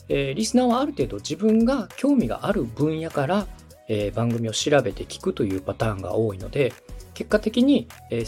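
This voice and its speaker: A man in his thirties hosts a podcast with a mid-range voice that moves up and down freely. He explains point by point in polite speech, checking in with his listener, while music plays softly behind him. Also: medium pitch. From Osaka, Japan. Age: 30s. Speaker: podcast host and radio director. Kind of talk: explanatory podcast talk to a co-host.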